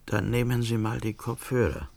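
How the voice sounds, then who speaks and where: An actor in a German science fiction radio play, playing a wary, evasive barkeeper with a gravelly, hesitant delivery. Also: male voice, medium pitch, steady tone, normal speed, darkish tone, natural voice. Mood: guarded. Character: wary, evasive barkeeper who knows more than he says.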